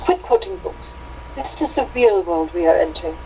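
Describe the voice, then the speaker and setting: A woman's voice: fairly low pitch, sing-song, a little fast. A woman playing a practical, down-to-earth young village sister in an amateur radio play. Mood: scolding. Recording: tinny phone-like line with hum.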